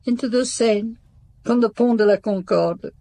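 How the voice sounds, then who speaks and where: A pious housekeeper in a radio play, slightly high voice, stiff and guarded, talks in halting bits. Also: female voice, warm tone, no echo. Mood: distressed confession. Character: devout, guarded spinster housekeeper to a French count. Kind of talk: radio drama acting.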